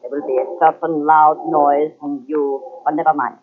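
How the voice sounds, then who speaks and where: A woman's voice: sits low, level tone, moderate pace, close to an everyday voice. A woman playing a cold, commanding smuggler villain who gives imperious, clipped orders in old-time radio melodrama style. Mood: coldly menacing. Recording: narrow, tinny old radio recording.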